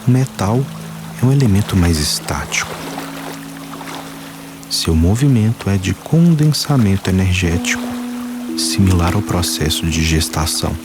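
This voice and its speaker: A man guiding a meditation, speaking calmly and slowly in short phrases with long pauses. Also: low voice. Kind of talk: guided meditation. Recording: voice-over with music and water sounds. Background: soft music, rain and running water.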